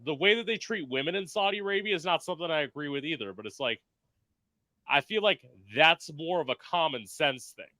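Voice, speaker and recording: A man's voice: fairly high pitch, lively intonation, fairly quick, bright, forceful tone, no echo, poor audio. A man hosting a podcast in casual chat, talking emphatically and filling his speech with "like". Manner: chatty, emphatic, full of "like" fillers; performs in drag. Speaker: podcast host.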